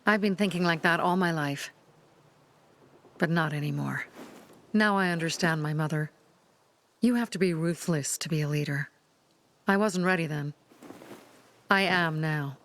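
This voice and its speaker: An actress playing a resolute, hardened young survivor leader in a video game, earnest and measured. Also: female voice, low-pitched, a bit bright. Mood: steely. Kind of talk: naturalistic video game acting.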